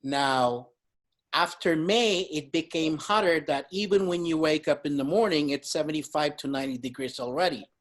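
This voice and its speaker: A man in his fifties hosting a casual fitness panel, emphatic and quick to laugh. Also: on the high side. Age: 50s. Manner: animated, emphatic, laughs often. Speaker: host.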